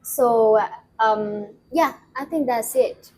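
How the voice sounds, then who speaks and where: A woman's voice: on the high side, slow pace, slight background noise, low-quality recording. A young woman from the Philippines co-hosting a casual chat, explaining at length with lots of "um" and "like". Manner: explanatory, with fillers like "um" and "like". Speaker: co-host.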